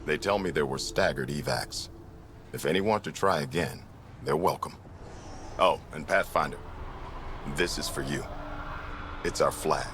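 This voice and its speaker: An actor playing a gruff, plain-spoken middle-aged frontier mayor in a video game, speaking in clipped, resolute, folksy phrases. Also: male voice, measured pace, long pauses, a bit bright, a little hiss or hum. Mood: solemn, resolute. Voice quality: very deep voice. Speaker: colony mayor character. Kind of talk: video game dialogue acting.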